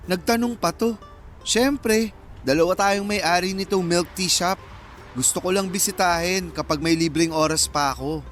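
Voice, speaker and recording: A man's voice: high-pitched, normal speed, a bit bright, soft background music. A man with a Filipino accent playing a young businessman in a radio drama, teasing yet protective toward his friend.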